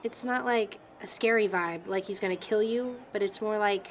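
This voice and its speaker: A woman's voice: mid-range voice, moderate pace, slight background noise, close to an everyday voice. A young woman playing a hesitant, wry character in an audio drama. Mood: thoughtful, uneasy. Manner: hesitant, searching for words.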